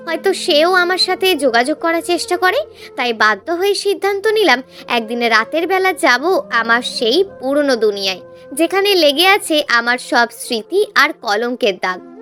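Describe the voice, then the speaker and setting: A woman's voice: sits high, sharp tone. A woman reading a scripted, emotional first-person story as a narrator.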